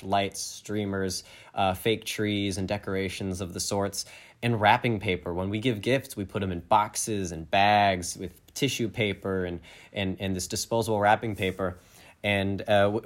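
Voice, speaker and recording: Man, lower-pitched voice, podcast co-host, explanatory, semi-scripted delivery.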